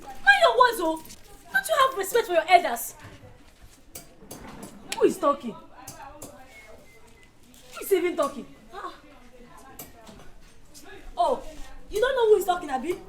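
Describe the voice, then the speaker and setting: A Nigerian-accented woman playing a feisty young shopper, loud, combative and scolding. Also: very high voice, very animated, unhurried, pauses often, exaggerated voice. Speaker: skit actress. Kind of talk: comedy skit acting.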